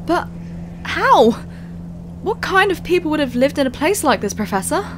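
A woman's voice: high-pitched, big pitch swings, normal speed, noisy background. A woman playing a young expedition pilot in an audio drama, earnest, practical and uneasy. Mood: alarmed, awed.

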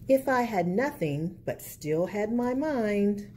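A woman's voice: medium pitch, animated, slow, warm tone. A woman reading a picture book aloud as a storyteller, expressively and for young children. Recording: faint background noise.

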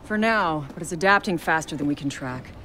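A woman playing a weary, hard-edged military commander in a cinematic game, speaking tersely and gravely. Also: low-pitched, lively intonation, fairly quick, bright, forceful tone, slight background noise. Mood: grave resolve.

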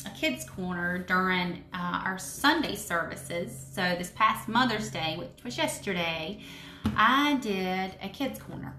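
A woman in her thirties hosting a children's devotional story time, speaking in simple phrases with questions and pauses for young listeners. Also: mid-range voice, bright, forceful tone. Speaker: children's story time host, Sunday school teacher. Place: indoors in a home room with brick wall and wood cabinets. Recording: roomy echo, faint background noise.

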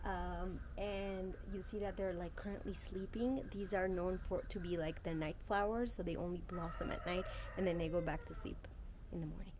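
A woman's voice: on the low side, fairly even intonation, moderate pace. Young woman vlogging outdoors over background noise. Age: young adult. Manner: chatty, playful. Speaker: travel vlogger. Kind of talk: casual travel vlog talk. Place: mostly outdoors, porch, garden and street. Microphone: camera at arm's length, sometimes small handheld mic.

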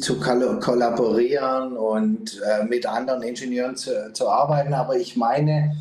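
A man in his sixties giving a measured, reflective keynote as an architect over a video call. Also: medium pitch, a little mellow. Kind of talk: online keynote talk. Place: indoor room, video call. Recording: echoey room on video call.

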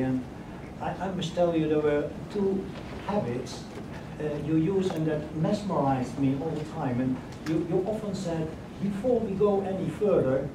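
A man in the audience of a lecture asking the speaker a question, halting and searching for words. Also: on the high side. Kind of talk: question from the floor. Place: large carpeted conference room. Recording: echoey hall from far off, noisy.

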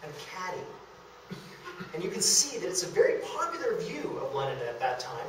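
Man lecturing from across an echoey room. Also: on the high side. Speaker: lecturer presenting a history talk. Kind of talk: illustrated public lecture. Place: indoor meeting room of a historic house. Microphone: camera across the room, far from speaker. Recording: echoey room, distant, faint hiss.

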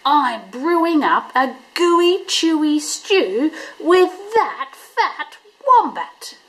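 A woman's voice: very animated, very slow, fairly bright tone, heavily acted. A woman voicing a sly, hungry male dingo who chants in a sing-song way. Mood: gleeful. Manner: sing-song, sudden high squeals.

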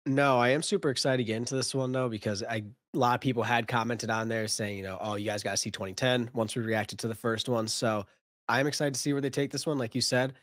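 A man's voice: medium pitch, steady tone, fast, a bit bright, no room sound. A young man co-hosting a movie reaction, congested from a cold, talking in an easygoing, agreeable way into a studio mic at home. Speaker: co-host of a movie reaction channel. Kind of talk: casual reaction chat. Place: home room, indoors. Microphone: studio mic, headphones.

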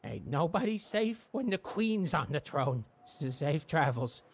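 An actor playing a shifty male stranger at a harbor in a video game, talking in a slow folksy drawl with slang, over game music. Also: on the high side, sing-song. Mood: sly warning. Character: shifty male dockside stranger, sly and gruff.

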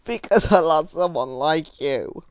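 A guilt-ridden young animatronic clown, played by a man, with a fairly high male voice. He speaks slowly and haltingly, pleading and sorrowful, as in an amateur animated drama. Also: background noise. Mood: sad, confessing. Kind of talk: emotional comic dub acting.

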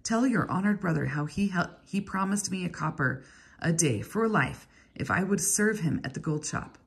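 A woman's voice: fairly low pitch, animated, normal speed, creaky, slightly rough, exaggerated voice. A woman voicing a whining, obsequious older male Babylonian servant in a storybook reading. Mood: wheedling. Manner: wheedling, obsequious.

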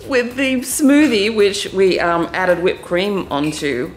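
A woman's voice: mid-range voice, very animated, takes it slowly. A woman chatting casually over a meal in a cabin, rambling and trailing off between thoughts. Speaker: guest at the meal. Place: cabin dining room, indoors. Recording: roomy with music behind.

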